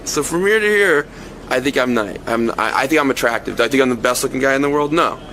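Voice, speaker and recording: A man being interviewed casually, speaking in a halting, repetitive, self-deprecating way. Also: medium pitch, animated, pushed and bright, slight background noise.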